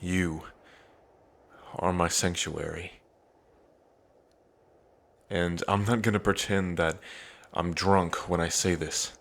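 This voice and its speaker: A man playing an earnest, devoted dragon prince in an intimate ASMR roleplay, pausing between phrases. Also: deep voice, fairly even intonation, fairly bright tone, full character voice. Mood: tender, lovestruck. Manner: slow, earnest, with long pauses between phrases. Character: lovestruck dragon prince, grieving, tender, devoted.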